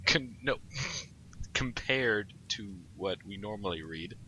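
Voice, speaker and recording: Deep-voiced, bright male story reader. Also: expressive, slow, slightly rough, low-quality recording. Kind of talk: comic fan fiction narration.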